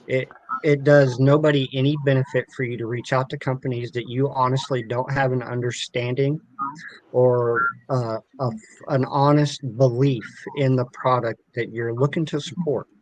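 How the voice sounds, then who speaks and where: Male podcast guest, mid-pitched, earnest and explanatory, offering advice conversationally. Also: low-quality recording. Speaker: podcast guest, club organiser.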